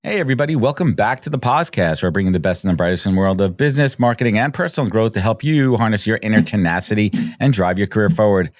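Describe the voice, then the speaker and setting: A man's voice: on the low side, animated, fast, few pauses, dry recording. A man hosting a business podcast, enthusiastic, often restarting and repeating words. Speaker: podcast host. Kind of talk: casual interview chat.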